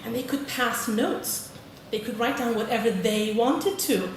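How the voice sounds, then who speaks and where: A woman's voice: a little high, unhurried. British woman presenting in an echoey hall. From Britain. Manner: friendly, polls the audience, frequent "okay". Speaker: conference presenter, teacher trainer. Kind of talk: conference presentation. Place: large conference hall. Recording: echoey hall, distant mic, noisy.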